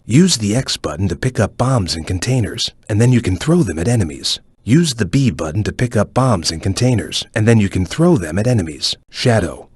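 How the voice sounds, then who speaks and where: A man playing a calm, serious ninja chameleon in a video game, speaking in a measured, stoic and focused way with a low, husky voice. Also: dry recording, exaggerated voice. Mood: serious, watchful. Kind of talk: video game voice lines, hints and tutorial instructions.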